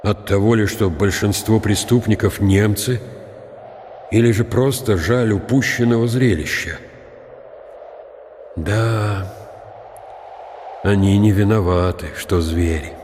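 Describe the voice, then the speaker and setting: An actor playing a proud, ironic field marshal of eighty, musing slowly and deliberately in a radio drama. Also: male voice, on the low side, a little mellow, slight background noise. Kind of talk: radio drama inner monologue. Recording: long reverberant hall sound.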